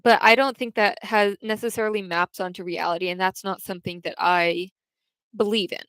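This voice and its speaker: A young woman talks casually to her livestream chat, wandering through her thoughts with frequent restarts. Her voice is smooth and resonant, and it is recorded close and dry, with no room sound. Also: medium pitch, steady tone, a little fast, fairly bright tone, no echo. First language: English.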